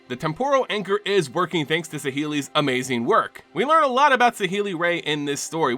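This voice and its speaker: A man giving dramatic scripted narration and commentary as the host of a fantasy lore channel, with an expressive storyteller delivery. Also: fairly high pitch.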